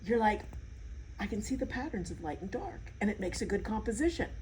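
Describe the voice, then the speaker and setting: A woman's voice: medium pitch, sing-song, brisk, a little mellow, a little hiss or hum, low-quality recording. A woman artist being interviewed, chatting casually and explaining things with enthusiasm.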